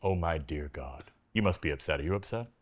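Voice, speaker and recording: Man acting a menacing band director, swinging pitch. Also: expressive, a little fast. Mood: furious bullying. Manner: cutting, condescending, explosive. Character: menacing, domineering band director bullying his musicians. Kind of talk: parody sketch of an intense music drama.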